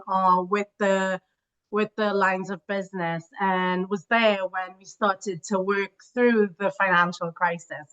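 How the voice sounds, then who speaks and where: Female interview guest from Trinidad, explanatory expert talk, mid-pitched voice, dull recording. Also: sharp tone. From Trinidad, Caribbean. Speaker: guest, corporate treasury and risk expert. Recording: dull, band-limited call audio.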